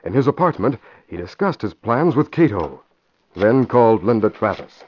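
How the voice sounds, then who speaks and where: A man's voice: fairly low pitch, big pitch swings, moderate pace, husky. A man with an American accent announcing and narrating in a polished, emphatic 1940s radio style. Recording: muffled vintage radio recording.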